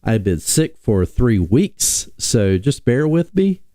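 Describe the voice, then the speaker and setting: A man hosting a casual sports talk stream, sick and nasal, rambling with many pauses and restarts. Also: medium pitch, very animated, warm tone, no room sound, studio quality. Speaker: podcast host.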